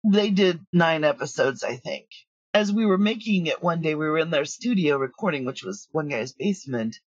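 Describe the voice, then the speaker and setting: Dull remote recording, woman, husky, dry room, casual interview talk. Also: low voice, lively intonation, moderate pace, no room sound. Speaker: audio drama writer and producer, interview guest.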